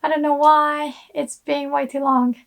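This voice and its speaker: Hoarse, slow-talking woman teacher. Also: high voice. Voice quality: hoarse, gravelly. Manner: relaxed, chatty explaining with many fillers. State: hoarse from illness. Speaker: Japanese language teacher. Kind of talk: casual online language lesson.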